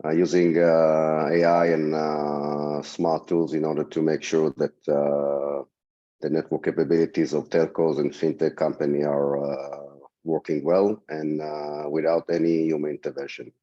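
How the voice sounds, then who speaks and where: A hoarse man with a cold answering as a panelist, hesitant, with many fillers and restarts. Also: extremely low pitch, flat delivery, a little slow, warm tone, muffled recording. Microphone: video call.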